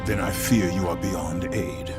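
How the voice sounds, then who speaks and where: An actor playing a stern, brooding Spartan warrior in a video game, speaking in terse, grave, formal lines. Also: male voice, sits low, steady tone, unhurried, over loud music. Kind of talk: video game cutscene acting.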